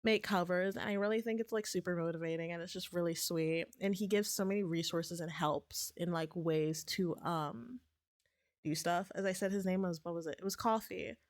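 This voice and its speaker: A woman streamer in casual, rambling chat, her voice a bit raspy as if she is getting sick, with lots of fillers and restarts. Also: fairly low pitch, moderate pace, no echo, clean studio sound. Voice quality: slightly raspy.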